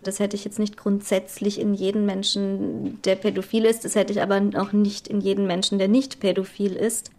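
Woman, mother, mid-pitched, thoughtful and candid interview delivery. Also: little pitch movement, moderate pace, few pauses, darkish tone. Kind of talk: documentary interview.

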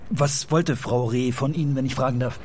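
Guy as calm cop in a radio drama, polite, matter of fact, normal mid pitch. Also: normal speed, slight background noise, close to an everyday voice. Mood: calm, probing. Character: composed, polite police officer questioning a family. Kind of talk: naturalistic radio play acting.

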